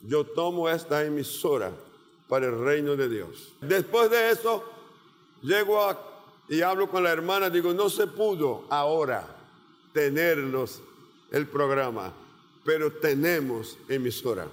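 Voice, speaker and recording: Slow-preaching man with a Portuguese accent. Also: fairly high pitch, lively intonation, long reverb tail. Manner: slow, emphatic preaching with repeated phrases. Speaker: pastor. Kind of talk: sermon.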